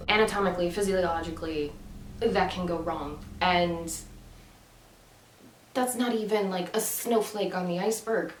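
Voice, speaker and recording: Woman in her 30s venting to camera, lots of ums, echoey room, mic kinda far off, noisy. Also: on the low side. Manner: earnest, emphatic, many fillers. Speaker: vlogger. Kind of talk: casual monologue to camera.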